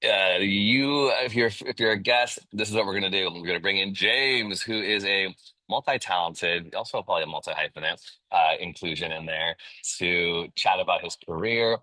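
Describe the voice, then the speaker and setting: Upbeat male host, deep bright voice. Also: moderate pace, no room sound, poor audio. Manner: upbeat and promotional, slangy. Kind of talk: casual online interview.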